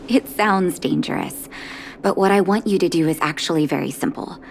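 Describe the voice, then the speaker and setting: A caring woman doctor from a video game, with a slightly low pitch. She is composed, polite and quietly firm, in measured phrases. There is faint background noise. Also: creaky. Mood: serious, resolute. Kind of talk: video game character acting.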